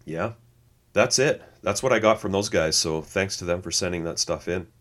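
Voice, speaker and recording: A man's voice: deep voice, moderate pace, a bit bright. A man co-hosting a podcast, chatting casually, reading notes aloud and laughing easily. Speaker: podcast co-host.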